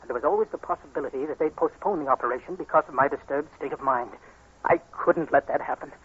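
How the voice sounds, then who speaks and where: A man's voice: fairly high pitch, quick pace, fairly bright tone, slightly rough. Anxious blind man in old radio suspense. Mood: rising terror. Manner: tense, dramatic, haunted. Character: Gordon, anxious blind man haunted by fear of a killer. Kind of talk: 1940s radio acting, first-person suspense narration. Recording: dull, noisy 1940s radio recording.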